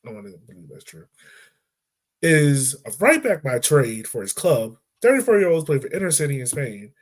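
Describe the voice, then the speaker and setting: A man's voice: fairly high pitch, very animated, moderate pace. A man hosting a podcast, chatting informally about football and thinking aloud as he goes. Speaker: podcast host discussing football. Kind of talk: casual conversational sports chat.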